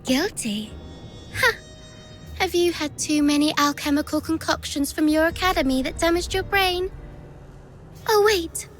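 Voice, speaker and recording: A woman voicing a treacherous young villainess who taunts sarcastically and gleefully at a slow, theatrical pace. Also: extremely high pitch, a bit bright. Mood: mocking. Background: faint music.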